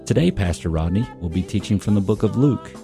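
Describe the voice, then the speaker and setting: A man's voice: lowish voice, animated, fairly quick, creaky, music in the background. A man reading a scripted introduction as the announcer of a radio ministry program. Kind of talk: scripted narration.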